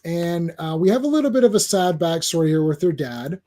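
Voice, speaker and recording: High-voiced chatty man hosting a stream. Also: animated, brisk, mellow. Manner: chatty, greets chat viewers by name. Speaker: host of a comics livestream. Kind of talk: casual livestream comic review.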